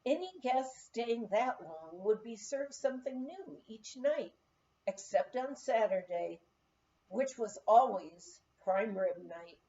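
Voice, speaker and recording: A woman's voice: a little high, warm tone, muffled recording. A woman reading a memoir aloud as narrated storytelling, with expression. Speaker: audiobook reader.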